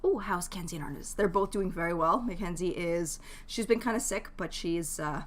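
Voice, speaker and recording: A woman's voice: on the low side, a little fast. A woman streamer chatting casually to her live viewers, giving advice in a rambling, jokey way and often breaking off mid-thought. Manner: jokey, laughs easily. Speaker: game streamer.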